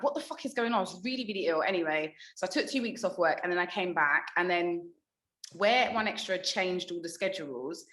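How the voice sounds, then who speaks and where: A woman's voice: fairly low pitch, echoey room, low-quality recording. A young woman from England, a radio presenter, chatting candidly and telling stories with plenty of swearing. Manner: candid, animated storytelling with swearing and laughter. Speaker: radio presenter, podcast guest. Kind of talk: casual chat.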